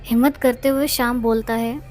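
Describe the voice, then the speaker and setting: A woman's voice: on the high side, fairly even intonation, moderate pace, darkish tone. A woman narrating a scripted story as a storyteller. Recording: music behind the voice.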